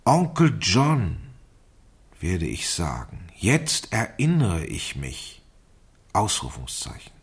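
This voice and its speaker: An actor playing a man who recites a written plan aloud in a radio play, deliberate and pointed. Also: on the low side, wildly swooping pitch, slightly rough, full character voice. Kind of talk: 1970s German radio play, reading aloud.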